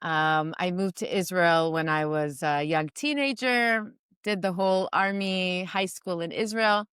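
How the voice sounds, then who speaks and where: Smooth-voiced New York woman, reflective. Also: on the low side, expressive, measured pace, fairly bright tone, no room sound, clean studio sound. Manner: reflective, many ums and restarts. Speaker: guest entrepreneur and mentor. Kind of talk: remote interview conversation. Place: home in Israel during a storm.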